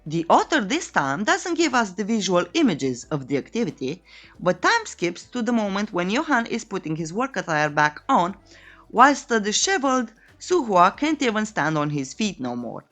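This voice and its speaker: Woman narrating with lively, swooping pitch. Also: moderate pace, soft background music. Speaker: narrator of a comic recap channel. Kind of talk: scripted story recap narration.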